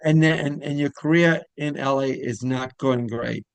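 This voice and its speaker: A man's voice: medium pitch, moderate pace, gravelly, dry recording. A man hosting an interview show, asking loose, rambling questions in a casual way.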